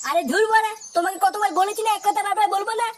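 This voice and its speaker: A performer with a pitched-up voice plays a grumpy, foul-mouthed married man in a crude comedy cartoon. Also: steady tone, very fast, a little hiss or hum. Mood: irritated. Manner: coarse, swearing, complaining.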